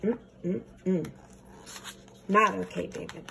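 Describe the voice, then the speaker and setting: A young woman teacher reading a storybook aloud to children, with playful, expressive comments. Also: lowish voice, drawn-out words, gravelly, a little hiss or hum. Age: young adult.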